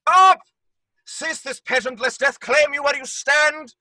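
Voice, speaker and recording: A man's voice: very high-pitched, measured pace, sharp tone, no echo, studio quality, full character voice. A man playing an outraged, haughty lord in an audio play, speaking in an imperious, theatrical, scornful manner. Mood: furious. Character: outraged, haughty nobleman in a plague mask. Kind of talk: stage-style audio drama acting. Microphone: video call recording.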